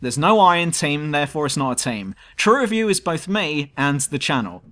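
Lively southern English man, fairly high. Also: normal speed. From southern England. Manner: dry, self-mocking. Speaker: YouTube gaming channel host. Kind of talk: scripted commentary over gameplay.

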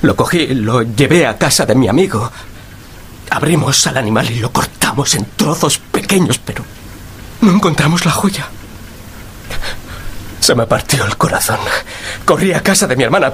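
A desperate, pleading thief, high voice. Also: male voice, animated, moderate pace, long pauses, a bit bright, a little hiss or hum. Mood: anguished confession. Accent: Castilian Spanish. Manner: pleading, anguished. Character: frightened, remorseful male thief, pleading and desperate. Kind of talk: radio drama acting.

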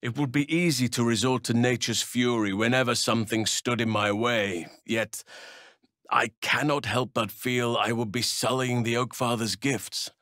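An actor playing a big, earnest druid healer in a video game, solemn and gentle, with measured, formal, old-fashioned phrasing. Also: male voice, medium pitch, fairly even intonation, dry recording, clean studio sound, lightly acted. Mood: solemn, reflective. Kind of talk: video game character voice acting.

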